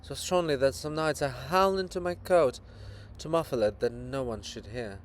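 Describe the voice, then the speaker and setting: A man's voice: medium pitch, moderate pace, close to an everyday voice. A man playing the statue of an old fatherly poet, declamatory and anguished, in a dramatic reading. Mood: weary, anguished. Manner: declamatory, rhetorical. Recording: clearly noisy background.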